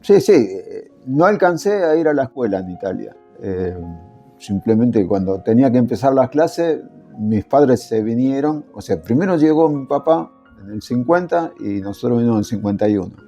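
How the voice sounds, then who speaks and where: A man's voice: mid-range voice, animated, normal speed, warm tone, lo-fi sound, faint music behind. An older Italian-born man recalling his working years in a casual interview, hesitant and full of fillers. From Italy. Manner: hesitant, many fillers and restarts. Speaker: interviewee, former factory worker.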